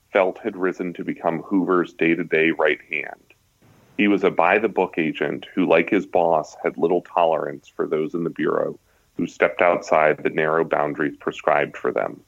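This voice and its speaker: A man's voice: sits low, dry recording. An American man, a guest author, reads a passage of his nonfiction book aloud. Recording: slightly dull, lo-fi audio.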